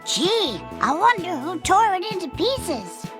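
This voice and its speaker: An actor voicing an excitable young duckling in a cartoon game, cheeky and easily spooked. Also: extremely high pitch, a little slow, music in the background, exaggerated voice. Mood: curious. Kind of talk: cartoon video game acting.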